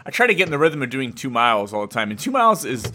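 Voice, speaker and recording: Casual male talker, lively swooping intonation, bright mid-pitched voice, joking and conversational. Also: quick pace. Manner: joking, acts out inner monologue. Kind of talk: casual banter.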